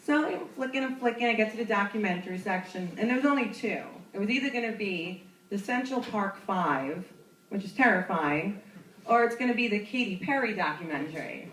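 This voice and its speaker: A woman's voice: mid-range voice, expressive, brisk, fairly bright tone, a little hiss or hum. A young woman from New York doing stand-up, telling a self-mocking story in a chatty way and laughing through her punchlines. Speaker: stand-up comedian. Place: comedy club stage. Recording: roomy club, mic from a distance.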